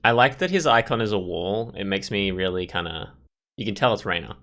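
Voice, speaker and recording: Lowish-voiced man giving casual game commentary. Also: expressive, brisk, fairly bright tone. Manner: informal, thinking aloud. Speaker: video game let's play host.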